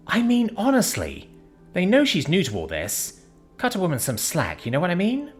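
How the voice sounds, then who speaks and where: A man's voice: high-pitched, huge pitch swings, moderate pace, faint music behind, voice pushed up. A man voicing a brash young woman who teases her friend and talks bluntly. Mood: teasing. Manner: chatty, mocking, blunt.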